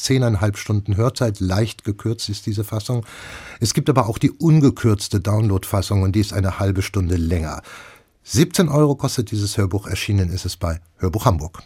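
Man, radio host, husky, dark tone, scripted, measured, informative. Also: on the low side. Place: radio studio.

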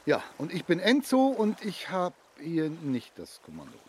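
An actor playing a cautious, kindly sidekick, hesitant and wry, in a children's radio play. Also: male voice, a little high, wildly swooping pitch, moderate pace, slight background noise. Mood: wry concern. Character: cautious, kindly male sidekick on a makeshift boat.

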